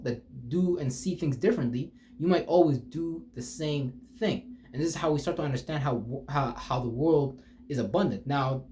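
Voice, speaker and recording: A man speaks off the cuff in a mid-pitched voice, like a motivational spiritual speaker. His delivery is slangy, profane and emphatic, circling back over phrases and tossing out rhetorical questions. There is some ambient noise behind him. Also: a little mellow. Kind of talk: rambling off-the-cuff motivational talk.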